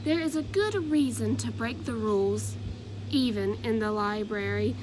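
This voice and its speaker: Woman reading as a calm, higher-voiced lady librarian. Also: measured pace, noisy background, natural voice. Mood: composed. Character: calm, gently firm female head librarian. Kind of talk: storybook character voice.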